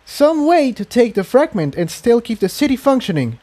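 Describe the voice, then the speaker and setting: A man's voice: extremely high pitch, sing-song, normal speed, warm tone, pitched above the performer's own voice. A man voicing a sweet, eager young female pony in a storybook reading. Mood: eager, earnest.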